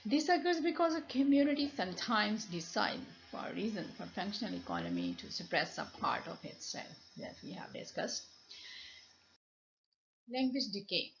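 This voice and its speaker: A woman's voice: medium pitch, sing-song, moderate pace, creaky, soft background music. A middle-aged woman with a Pakistani accent and Punjabi as her first language, lecturing from slides and repeating key phrases as she explains. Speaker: university lecturer. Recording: dull lo-fi home recording with noise.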